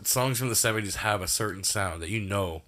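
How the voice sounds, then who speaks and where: A man's voice: on the low side, fairly quick, pushed and bright. A man co-hosting a podcast in casual chat, laid-back, swearing and laughing often. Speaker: podcast co-host.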